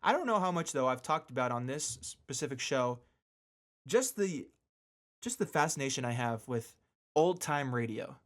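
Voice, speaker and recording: A man's voice: mid-range voice, animated, normal speed. A man hosting a casual solo radio show, talking informally with slangy asides and repeating words for emphasis. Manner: emphatic repetition for stress.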